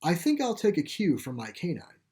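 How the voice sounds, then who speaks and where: A man's voice: a little high, huge pitch swings, normal speed, darkish tone, pitched above the performer's own voice. Man voicing an earnest, emotional young boy. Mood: resolved, hopeful. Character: earnest, grief-stricken boy who loves his dog. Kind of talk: storybook character dialogue.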